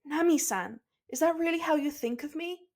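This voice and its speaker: A woman's voice: sits high, moderate pace, darkish tone, pitched above the performer's own voice, close to an everyday voice. A woman voicing a proud, dramatic male cook who fancies himself a romantic, in a showy, mocking manner. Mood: small, hurt.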